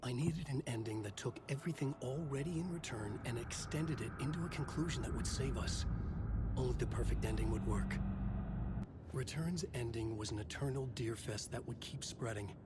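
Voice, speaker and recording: Loud dramatic music swells behind a brooding male writer played by an actor in a horror game. His voice sits in a middle register, grave and earnest, like a driven man narrating his own dark story. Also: medium pitch, normal speed, close to an everyday voice. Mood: grave, desperate. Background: loud cinematic music.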